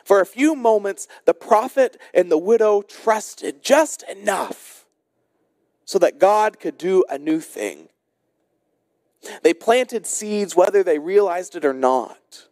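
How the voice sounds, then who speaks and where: An American man, a pastor, delivering a sermon in deliberate short phrases with rhetorical repetition. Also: high voice, a little mellow, dry recording, clean studio sound. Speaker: senior pastor.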